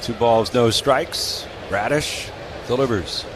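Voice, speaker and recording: A man's voice: mid-range voice, slow pace. An American man calling live baseball play-by-play in a radio commentary style. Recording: clearly noisy live ballpark broadcast.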